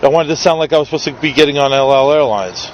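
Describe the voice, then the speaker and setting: A man's voice: fairly high pitch, fast talker, muffled recording, slight background noise. A man with a New York accent, chatting casually and joking as he films a stranger in a city park. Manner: chatty, joking, curious questioner. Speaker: street videographer. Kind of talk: casual street conversation.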